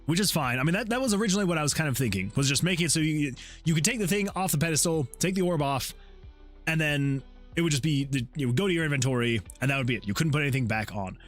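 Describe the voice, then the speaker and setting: A man hosting a game development livestream, chatting casually with viewers and rambling excitably as he thinks out loud. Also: a little high, quick pace. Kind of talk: casual chat with stream viewers while working. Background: background music.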